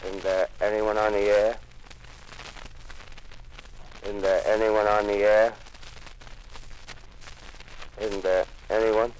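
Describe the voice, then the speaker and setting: An actor playing a lonely male radio operator, calling out slowly and flatly into silence in a 1930s radio drama. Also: fairly low pitch. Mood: desolate. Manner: flat, slow, desolate calling. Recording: distant, faint hiss, old broadcast.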